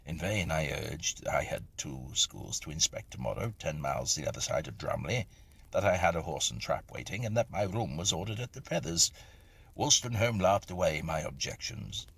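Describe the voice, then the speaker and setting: A low-voiced man narrates a Victorian ghost story. His delivery is deliberate and atmospheric, with wide swings in pitch. The voice is gravelly and creaky at the edges. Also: expressive, a bit bright.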